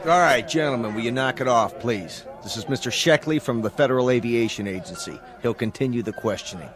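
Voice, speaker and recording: A man playing a brusque, exasperated airline boss in a television drama, brisk and authoritative. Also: mid-range voice, crowd noise, natural voice.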